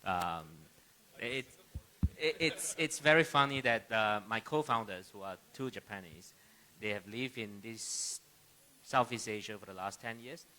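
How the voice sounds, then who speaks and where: A middle-aged man from Malaysia introduces himself as a panelist. His voice sits fairly low but is very bright and sharp. He speaks haltingly, and there is light noise behind him. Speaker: panelist, academic and AI company founder. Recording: live stage microphone with clear background noise.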